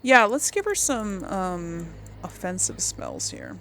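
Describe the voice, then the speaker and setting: A woman's voice: lowish voice, wildly swooping pitch, takes it slowly, vocal fry, a bit bright, slight background noise. A woman giving casual, chatty video game commentary, thinking aloud as she plays.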